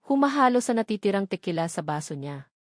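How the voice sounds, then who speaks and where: A woman with a Filipino accent reads a scripted romance story as a storyteller, with sentimental, dramatic phrasing and pauses. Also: medium pitch, very animated, dry recording, studio quality.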